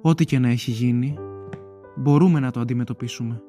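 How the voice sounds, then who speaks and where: Guy acting as devoted boyfriend, earnest and tender, pleading a bit, audio drama w/ soft piano under it. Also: mid-range voice, lightly acted.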